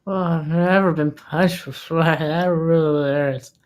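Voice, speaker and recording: A woman's voice: sits low, steady tone, slow pace, darkish tone. A woman playing an exasperated male sidekick, urgent and insistent, at a comedy screenplay table read. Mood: pained. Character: exasperated male sidekick Anthony, peacemaking best friend.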